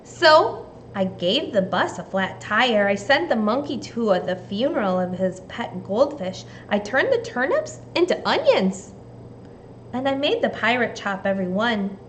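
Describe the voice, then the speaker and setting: Slow-paced woman reading to children. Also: fairly high pitch, sing-song, slight background noise. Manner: deliberate, simple phrasing addressed to young children. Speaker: teacher reading a storybook aloud to children. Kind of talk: expressive storybook read-aloud and simple instruction for kids.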